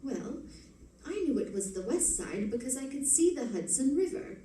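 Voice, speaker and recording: A woman playing a poised, affectionate detective's wife in an old-time radio drama, cool and gently dry. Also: high voice, very animated, normal speed. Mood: calm, puzzled. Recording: echoey, distant, noisy stage recording.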